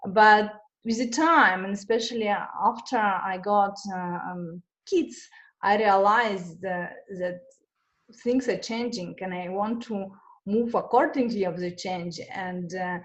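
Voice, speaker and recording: A woman's voice: mid-range voice, slow. Woman. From Russia. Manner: hesitant, many fillers and restarts. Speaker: interview guest. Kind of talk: conversational interview.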